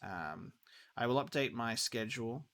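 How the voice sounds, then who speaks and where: Man, mid pitch, creaky voice, game streamer, casual, rambling, thinking aloud. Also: expressive, normal speed, a bit bright. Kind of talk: casual livestream sign-off chat.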